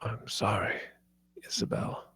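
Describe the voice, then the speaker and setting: An actor at a script table read playing a grief-stricken, dying father, resolute but failing, with halting, strained speech. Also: pushed and bright, dry recording. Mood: weak, dying. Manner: halting, strained, breaking into near whispers.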